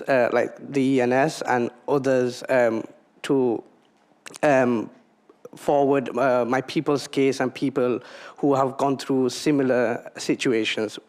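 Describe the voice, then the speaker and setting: Young male panelist, smooth clear voice, mid pitch, giving a self-introduction to a room. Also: steady tone, measured pace, a little mellow. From Bangladesh, then the UK from childhood. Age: young adult. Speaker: youth director, panelist.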